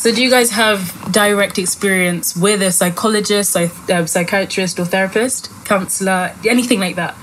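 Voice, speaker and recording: A woman's voice: medium pitch, normal speed, few pauses, a bit bright, slight background noise, poor audio. A young woman hosting a casual podcast chat, asking long rambling questions and correcting herself as she goes. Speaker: podcast host.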